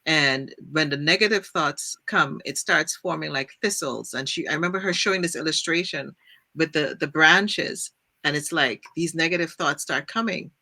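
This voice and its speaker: Bright-voiced woman host, earnest and reflective. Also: low voice, normal speed. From Trinidad. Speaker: podcast host. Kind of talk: casual faith-based conversation.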